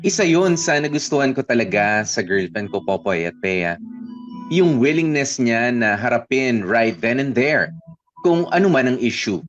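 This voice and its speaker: A man's voice: mid-range voice, dull audio, lightly acted. A man acting a jealous, lovestruck young Filipino who narrates his confession hesitantly in a radio drama, in Taglish. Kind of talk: first-person letter narration in radio drama.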